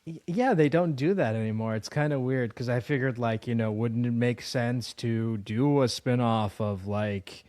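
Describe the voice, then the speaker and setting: A man's voice: mid-range voice, normal speed, hardly any pauses, darkish tone, no echo, studio quality. A man hosting an interview in a casual, informal, conversational way. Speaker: podcast interviewer.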